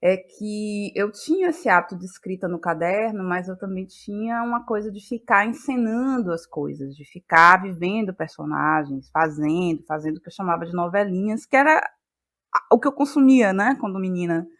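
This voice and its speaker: A woman with a Brazilian accent from Bahia, answering as an interview guest in a reflective, halting way and often repeating phrases. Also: mid-range voice, a bit bright. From Salvador, Bahia, Brazil. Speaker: guest, playwright and actress.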